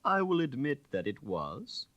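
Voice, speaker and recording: Man voicing a suave, high-pitched gentleman sleuth. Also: wildly swooping pitch, unhurried, dry recording. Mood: confident, dogmatic. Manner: dogmatic, tranquil, precise. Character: suave, self-assured gentleman, calm dogmatic amateur detective.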